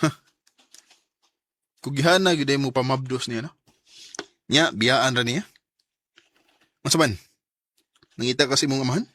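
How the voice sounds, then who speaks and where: A man's voice: medium pitch, a little slow, long pauses, a bit bright, a little hiss or hum, natural voice. A man acting an earnest, determined young student who carries some resentment, in a radio drama with a Filipino Bisaya accent. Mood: guarded, resentful.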